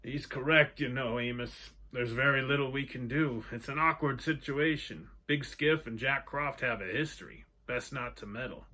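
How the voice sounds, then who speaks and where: A man voicing a genteel older sea captain with a dry, wry wit, telling tall tales slowly. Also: mid-range voice, pushed and bright. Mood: sober.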